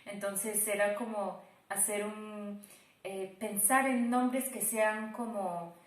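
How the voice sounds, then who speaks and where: A woman's voice: mid-range voice, steady tone, takes it slowly. A woman explaining a creative project conversationally, thoughtful and often correcting herself as she goes. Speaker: production team member. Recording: echoey room.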